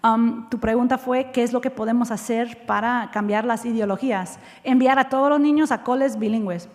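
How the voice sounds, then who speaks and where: A woman answers questions from an audience after her talk, candid and quick with a joke. She slips between Spanish and English mid-sentence, her voice sitting fairly high. The sound carries a long reverb from the lecture hall. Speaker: guest speaker.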